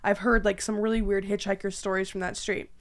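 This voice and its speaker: A woman's voice: mid-range voice, little pitch movement, a little fast. A young woman with a Canadian accent chatting informally and colloquially as a podcast host. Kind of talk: casual chat.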